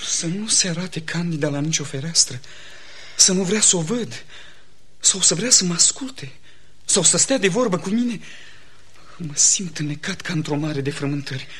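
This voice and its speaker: A lovesick young gentleman in a radio play. He speaks with melodramatic passion, anxious and disbelieving, in an openly theatrical style. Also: fairly high pitch, animated, slight background noise. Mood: despairing. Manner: impassioned, melodramatic. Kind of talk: theatrical radio comedy acting.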